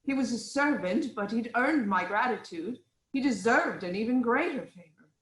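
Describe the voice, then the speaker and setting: Actress as composed tragic queen: fairly high voice, formal and assured, roomy echoing recording. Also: animated, moderate pace, a bit bright. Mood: measured recounting. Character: poised, concerned queen, wife of the king. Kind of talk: dramatic reading of Greek tragedy.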